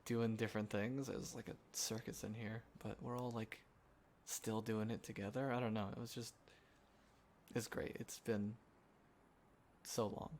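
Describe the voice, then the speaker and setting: A man acting as a sentimental young guy catching up with a close friend, talking in a casual, halting, soft-hearted ramble for an intimate roleplay audio. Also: on the low side, level tone, lightly acted. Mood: amused, fond. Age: young adult. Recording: clear background ambience noise. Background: quiet city ambience.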